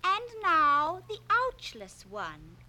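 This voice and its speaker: A woman's voice: extremely high pitch, wildly swooping pitch, slow pace, background noise, heavily acted. A woman playing a chirpy commercial presenter who speaks in a sing-song, salesy way. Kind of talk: mock advertisement.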